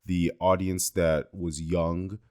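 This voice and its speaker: A man's voice: sits low, little pitch movement, slow, a little mellow, clean tone. A man on a podcast, talking casually as he reasons through an opinion about films. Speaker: podcast host. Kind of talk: casual film discussion.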